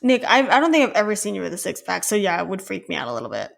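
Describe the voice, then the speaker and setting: Female podcast co-host, informal and slangy, playful conversational delivery, mid-range pitch. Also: big pitch swings, racing, vocal fry. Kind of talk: casual chat.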